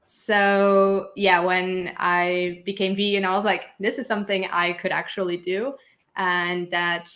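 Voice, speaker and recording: A woman's voice: lowish voice, level tone, measured pace, fairly bright tone. A woman from Portugal, a guest telling her own story in casual conversation. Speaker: interview guest, video creator. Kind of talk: casual chat, personal storytelling.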